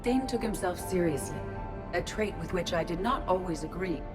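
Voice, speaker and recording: A measured, polite female AI voiced by a woman in a video game, slightly low in pitch. Her speech is precise and formal, with loud music behind it. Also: expressive, natural voice. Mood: composed, reflective. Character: female artificial intelligence, polite, measured, thoughtful. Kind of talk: video game cutscene acting, eulogy.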